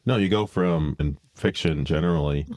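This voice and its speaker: A man's voice: very deep voice, moderate pace, darkish tone, no echo. A man hosting a podcast, explaining things in a casual discussion and thinking aloud as he goes. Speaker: podcast host.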